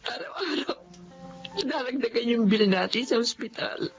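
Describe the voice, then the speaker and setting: A woman's voice: on the high side, normal speed, lightly acted. A woman playing an anguished mother of a sick child in a Filipino radio drama, plaintive and emotional, with a Filipino accent. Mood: despairing. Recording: dull lo-fi audio with faint music.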